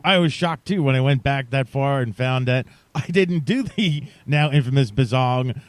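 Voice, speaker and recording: A man's voice: medium pitch, moderate pace, dry recording. A man hosting a podcast, talking casually to his listeners in a chatty, playfully emphatic way. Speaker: podcast host.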